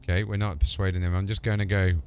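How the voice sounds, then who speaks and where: A man's voice: sits low, level tone, racing, a little mellow, a little hiss or hum. A man giving live gameplay commentary, talking to himself as he reacts to what happens in play. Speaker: video game streamer. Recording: music behind the voice. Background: game music.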